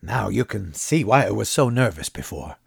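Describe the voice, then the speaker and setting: A man voicing a weary, wary actor who speaks low and subdued. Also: big pitch swings, moderate pace, vocal fry, breathy edge, no echo, studio quality, full character voice. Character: weary, wary middle-aged male actor.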